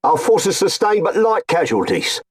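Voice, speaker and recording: A man playing a dutiful imperial officer in a video game, reporting formally with a husky voice. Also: high-pitched, big pitch swings, moderate pace, full character voice. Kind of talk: video game cutscene dialogue.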